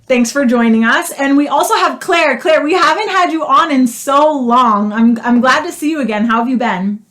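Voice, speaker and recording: A woman's voice: high-pitched, moderate pace, a bit bright. A woman hosting a political talk show, speaking earnestly with frequent "um" fillers. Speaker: host.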